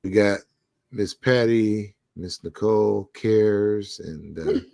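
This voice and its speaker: A man's voice: fairly low pitch, slow pace, gravelly, a little mellow, dry recording, clean studio sound. A man hosting a casual community talk show, congested and losing his voice, laid-back and laughing easily. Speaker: show host. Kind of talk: casual chat.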